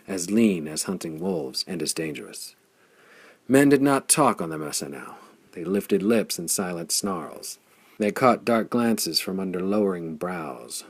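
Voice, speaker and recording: Man, low-pitched, creaky, dry close sound, measured dramatic adventure narration. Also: no echo. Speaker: audiobook narrator.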